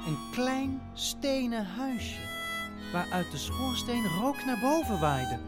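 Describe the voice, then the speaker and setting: A man narrating a family radio play as a theatrical storyteller, with dramatic pauses and hesitations. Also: very high-pitched, animated. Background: music.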